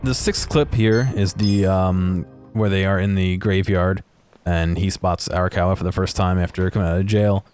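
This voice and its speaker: A man giving casual, unscripted video game commentary in a halting, hesitant way. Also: deep voice, fairly even intonation, darkish tone. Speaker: gaming video creator. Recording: voice-over with game music behind.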